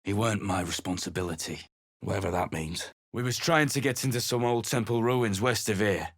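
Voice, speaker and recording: Male actor playing a gruff sellsword, husky, low-voiced, dry studio sound. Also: brisk, no room sound, natural voice. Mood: surly. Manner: surly, contemptuous. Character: gruff rough-spoken male mercenary leader. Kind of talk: video game voice acting.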